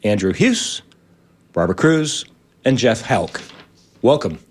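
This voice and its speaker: A man in his sixties with a fairly low voice hosts an interview program. He is from Virginia and delivers prepared introductions and questions to guests. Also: big pitch swings, slow, slightly rough. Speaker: radio show host.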